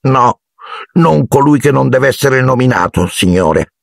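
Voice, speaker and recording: A man voicing a small, anxious, devoted male house-elf for a storybook, squeaky and hoarse, servile and deferential. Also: lively intonation, a little slow, no echo, studio quality. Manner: speaks of himself in third person, deferential.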